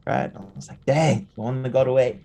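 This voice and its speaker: A man's voice: mid-range voice, fairly even intonation, fairly quick, gravelly, warm tone. Chatty Fijian-raised man telling stories. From Fiji. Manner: chatty, keeps adding "yeah?" and "right?". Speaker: interview guest, jiu-jitsu coach. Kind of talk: casual storytelling interview.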